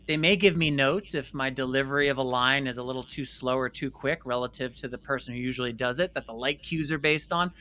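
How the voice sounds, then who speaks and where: A man's voice: medium pitch, brisk, few pauses, bright, forceful tone. A man speaking as an interview guest in a conversational storytelling style, emphatic and repeating phrases for stress. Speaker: interview guest, filmmaker and former stage actor.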